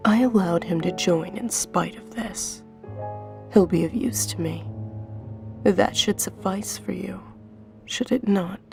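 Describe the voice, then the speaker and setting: A woman playing a gaunt, skeletal Reaper in an audio drama, speaking in a measured, formal, condescending way with a creaky voice. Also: medium pitch. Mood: cool, dismissive. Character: gaunt, skeletal female Reaper on a bone throne, coolly amused. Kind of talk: scripted audio drama acting. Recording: music under the voice. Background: music.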